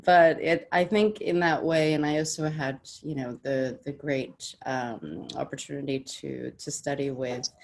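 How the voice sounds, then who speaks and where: A woman's voice: extremely low pitch, fairly even intonation, measured pace, few pauses, darkish tone, slight background noise. A woman with an American accent, a panelist on a video call, chatting casually about her career with many fillers and frequent laughs. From half Danish, half Swedish, raised partly in the US. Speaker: panelist, business development director.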